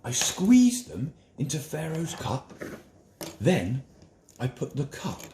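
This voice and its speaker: Man acting eager royal servant, lively raised pitch. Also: slow pace, creaky, echoey room, a little hiss or hum, heavily acted. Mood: wondering. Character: chief wine taster, eager male royal servant recounting dream.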